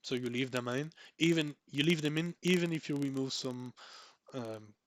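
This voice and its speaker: A young man from Belgium whose first language is Dutch, talking through a software tutorial, repeating words for emphasis with many ums and restarts. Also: medium pitch, moderate pace, no room sound. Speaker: tech tutorial creator. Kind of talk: screen-recorded software tutorial.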